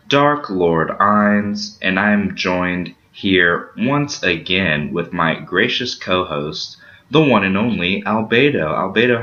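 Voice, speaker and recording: A man hosting a casual podcast, rambling through shout-outs and promos with lots of fillers. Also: low voice. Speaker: podcast host. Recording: dull lo-fi sound.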